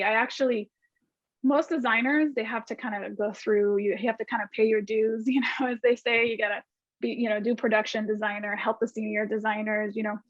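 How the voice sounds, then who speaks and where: A woman from Argentina, a guest designer, talks in a chatty run with lots of restarts and fillers. Her voice sits fairly high. The video call sound is dull and dry, with no room around it. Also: no echo.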